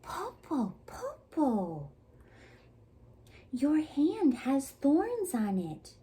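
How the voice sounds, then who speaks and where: A woman's voice: high voice, wildly swooping pitch, drawn-out words, mellow, pitched above the performer's own voice, heavily acted, low-quality recording. A woman voicing a clever eldest girl in a storybook, coaxing sweetly with feigned innocence. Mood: puzzled.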